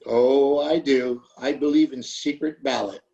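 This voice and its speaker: A man's voice: medium pitch, steady tone, measured pace, gravelly, warm tone, roomy sound, highs cut off. A man playing a mild, fussy old shopkeeper, hesitant and evasively polite, in an old-time radio comedy, recorded over a video call. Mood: mildly evasive.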